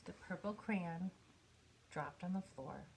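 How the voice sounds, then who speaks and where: A woman reading a children's picture book aloud in an expressive storytelling manner, with pauses for effect. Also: lowish voice, slight background noise, low-quality recording. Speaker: storybook reader.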